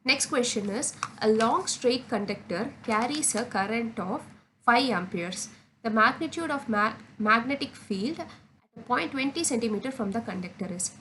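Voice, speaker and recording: A woman's voice: fairly high pitch, expressive, normal speed, a bit bright, low-quality recording. Woman tutor teaching over background noise. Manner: methodical, spelling out formulas aloud in short phrases. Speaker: physics tutor. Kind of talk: step-by-step exam solution lesson.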